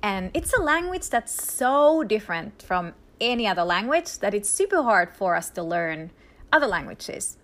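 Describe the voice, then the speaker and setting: A woman's voice: mid-range voice, sing-song, moderate pace, fairly bright tone. A woman in her thirties from Finland with a Finnish accent, a podcast host telling her faith story in a chatty way, with many fillers and light laughs. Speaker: podcast host, dance minister. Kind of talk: casual personal testimony.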